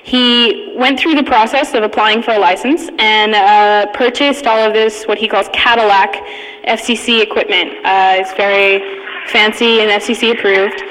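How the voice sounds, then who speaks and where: A woman giving an informal talk, telling a personal story in a halting, amused manner. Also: mid-range voice, fairly even intonation, a bit bright. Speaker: conference speaker, radio hacker community member. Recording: echoey hall with long reverb.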